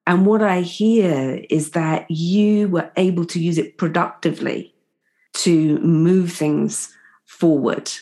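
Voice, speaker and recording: A woman's voice: on the low side, fairly even intonation, slow. A woman interviewer asking attentive, affirming questions. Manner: attentive, affirming, with soft listening sounds.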